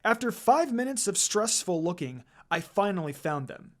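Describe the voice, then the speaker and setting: Lively, expressive story reader. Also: mid-range voice, moderate pace. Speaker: fan fiction reader. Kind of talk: expressive first-person story narration.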